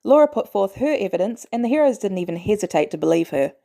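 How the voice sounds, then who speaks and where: Female narrator for an audiobook-style fan fiction reading; warm, relaxed tone with a lively, expressive read. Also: mid-range voice, fast, gravelly. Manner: expressive storytelling, animated delivery. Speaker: podfic reader.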